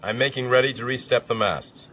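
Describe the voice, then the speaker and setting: An actor playing an educated, determined castaway in a radio drama, earnest and articulate. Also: male voice, mid-range voice, fairly quick, pushed and bright. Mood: defiant. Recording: sea and boat noise, music behind.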